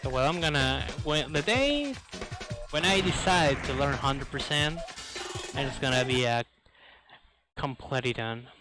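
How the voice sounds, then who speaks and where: A man with a mid-range voice gives casual, live commentary as he speedruns a video game. His phrasing is that of a non-native English speaker. Loud game music and crashing effects sit behind his voice. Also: medium pitch, lively intonation, measured pace.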